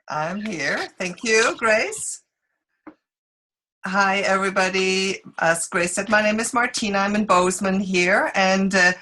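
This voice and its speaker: A middle-aged woman from Switzerland with a Swiss accent, telling her story as a guest speaker in a relaxed, unscripted way with halting restarts. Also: mid-range voice. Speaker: guest speaker, sales consultant. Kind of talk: informal presentation on a group call.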